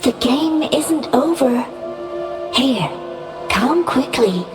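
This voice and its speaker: An actress voicing a sweet, innocent, childlike lost soul in a video game, with a halting, wistful manner. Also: female voice, high-pitched, animated, exaggerated voice. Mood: urging, playful. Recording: distant voice under loud music. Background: loud music.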